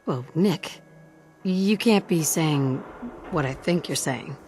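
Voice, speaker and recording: An actress playing a steady, supportive adventurer and detective's partner in a video game, speaking plainly and earnestly. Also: female voice, low-pitched, slow pace, soft background music. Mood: shocked. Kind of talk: natural game dialogue.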